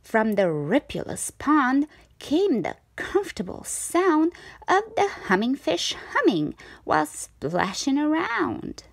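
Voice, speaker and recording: A woman reads a children's picture book aloud with dramatic phrasing. Her voice is fairly high and very animated, and she takes her time over the words. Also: slow pace, vocal fry, no room sound. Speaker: storyteller. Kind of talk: expressive children's read-aloud.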